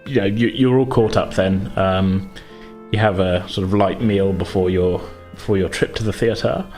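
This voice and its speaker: A man's voice: sits low, steady tone, moderate pace, darkish tone, soft background music. A man acting as game master, casually improvising narration in a loose, conversational, hedging way.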